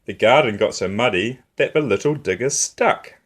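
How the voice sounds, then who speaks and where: A man reading a rhyming picture book aloud for young children in an expressive, rhythmic way, as a storytime host. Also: on the low side, moderate pace, breathy edge.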